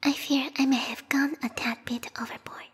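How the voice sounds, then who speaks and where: A woman voicing a bloodthirsty, refined bat girl royal guard in a whispered ASMR roleplay, prim and courtly with quiet menace. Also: high voice, steady tone, moderate pace, in a large hall, lightly acted. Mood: prim, mock-apologetic. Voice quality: breathy, whispery. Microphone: close binaural microphone.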